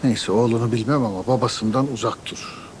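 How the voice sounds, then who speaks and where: A man's voice: mid-range voice, fairly quick, noisy background, natural voice. An actor playing a protective, wary but caring middle-aged father in a naturalistic TV drama. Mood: stern. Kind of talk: TV drama acting, naturalistic dialogue. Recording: prominent music under TV drama dialogue.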